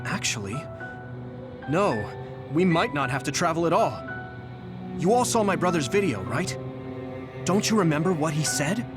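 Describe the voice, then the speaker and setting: Young game hero, earnest, thoughtful, lightly high voice, music behind. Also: male voice, big pitch swings, moderate pace, pauses often. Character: earnest, thoughtful young man in a sci-fi squad. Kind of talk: English anime video game voice acting.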